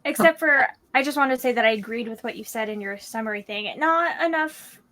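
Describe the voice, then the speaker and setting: A young woman in her early twenties with a fairly high voice talks through her opinions in a casual book chat. She vents in an animated, chatty way, peppering her sentences with "like". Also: a little fast. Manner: animated venting with frequent "like". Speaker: book discussion participant.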